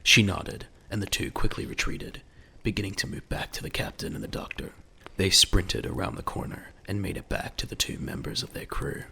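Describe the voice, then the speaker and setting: A man's voice: on the low side, vocal fry, pushed and bright, slight background noise. A man narrating a scripted audio drama in a dramatic storytelling style.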